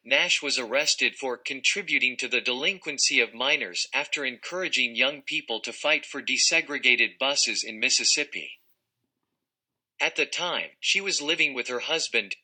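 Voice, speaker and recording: Very bright, forceful male narrator. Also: medium pitch. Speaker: narrator reading an article. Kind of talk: scripted read-aloud narration.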